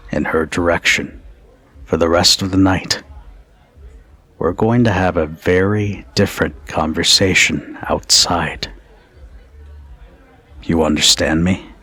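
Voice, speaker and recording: A low-voiced, unhurried bartender acted by a man in an intimate ASMR roleplay. He is protective and teasing, with a commanding but caring way of putting each phrase. His voice has a husky grain. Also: sharp tone, exaggerated voice.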